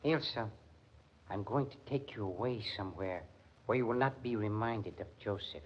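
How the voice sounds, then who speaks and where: An actor playing a suave, defiant concert musician with a Continental European accent and formal phrasing, in 1940s radio style. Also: male voice, fairly low pitch, husky. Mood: suave, defensive. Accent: foreign, Continental European. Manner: formal, measured foreign phrasing. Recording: muffled 1940s radio recording.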